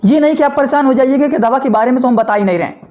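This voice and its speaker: A man giving a fast, loud video lecture to medical students, repeating points and often checking that listeners have understood. He sounds slightly hoarse. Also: very high-pitched, muffled recording. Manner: repetitive, often asking "understood?", mixing English terms into Hindi; talks loudly and fast. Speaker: medical educator.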